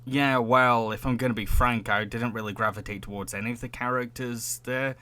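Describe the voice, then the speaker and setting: A man's voice: mid-range voice, fairly even intonation, a little fast, bright, forceful tone. A man hosting a casual film review podcast, opinionated and mocking in manner. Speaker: podcast host.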